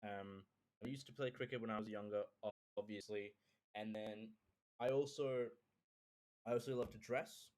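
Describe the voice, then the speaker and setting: A man's voice: on the low side, fairly even intonation, moderate pace, darkish tone, rough recording. A man in his early twenties from Sri Lanka who later moved to Australia, chatting casually to viewers in a hesitant, rambling way with repeated phrases. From Sri Lanka, then Kuwait and Australia. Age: early 20s. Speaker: streamer introducing himself.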